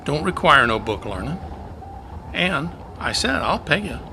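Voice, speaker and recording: A man's voice: fairly high pitch, very animated, measured pace, very noisy. A man playing a harried, pushy cattle-drive cowboy with folksy Old West speech in an amateur radio play. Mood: urgent, commanding.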